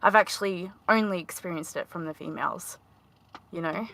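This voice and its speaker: A woman guest on an online panel, speaking with a high-pitched voice and halting, filler-heavy phrasing. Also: fairly bright tone. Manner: hesitant, many fillers and restarts. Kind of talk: casual panel discussion.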